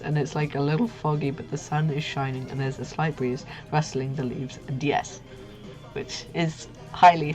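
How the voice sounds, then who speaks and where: Low-voiced young woman, giggly and informal. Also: a little mellow. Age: young adult. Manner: giggly, goofy, informal with slang and swearing. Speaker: livestream host, artist. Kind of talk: casual livestream chat. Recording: muffled lo-fi stream audio with music. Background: background music.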